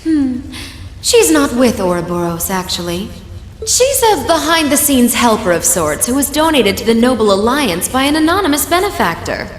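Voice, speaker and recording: A woman's voice: fairly high pitch, big pitch swings, moderate pace, reverberant, big-room sound, slight background noise. A woman playing a smug, teasing witch villainess in a video game, with mocking, theatrical politeness. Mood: mocking, amused. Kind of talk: dramatic video game voice acting.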